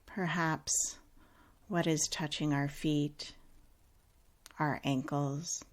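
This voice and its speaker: A woman leading a guided meditation in a calm, unhurried, gently instructive way with long pauses. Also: sits low.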